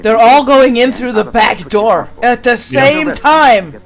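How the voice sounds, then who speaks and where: A woman's voice: fairly high pitch, animated, slow. A woman making wry, teasing, casual remarks as a comic commentator on a radio play.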